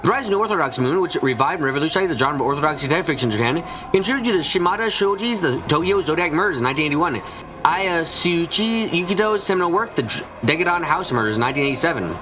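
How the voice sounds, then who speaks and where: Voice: medium pitch, animated, fast. A streamer reading a mystery visual novel aloud as narrator, with small stumbles and rereadings over music. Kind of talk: fan dub narration of a mystery game.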